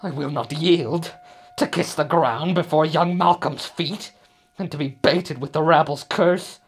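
A man's voice: on the high side, unhurried, slightly rough. A man playing a doomed, defiant tyrant king in a Shakespearean radio drama, declamatory and swinging between brooding and roaring. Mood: bitter defiance.

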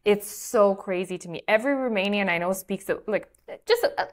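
Young woman host from moldova, chatty, says like a lot, mid pitch. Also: moderate pace. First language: Russian. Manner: chatty, frequent likes and you-knows. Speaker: podcast host. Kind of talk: casual interview chat. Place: bright room with large window.

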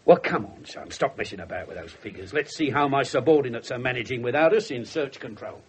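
An English actor playing a blunt, sardonic, wisecracking police inspector in a radio drama. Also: male voice, medium pitch, lively intonation, fairly quick, close to an everyday voice. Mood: wry, wisecracking. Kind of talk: 1970s radio drama acting. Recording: noisy 1970s radio broadcast recording.

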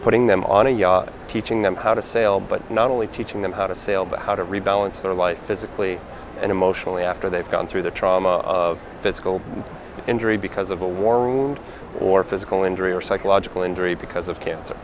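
Man in his forties, very deep voice, gravelly, earnest, handheld mic, faint hall noise. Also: steady tone. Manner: earnest, plain-spoken, halting with fillers. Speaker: foundation founder. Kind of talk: interview answers. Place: large convention hall, indoors. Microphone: handheld mic held by interviewer.

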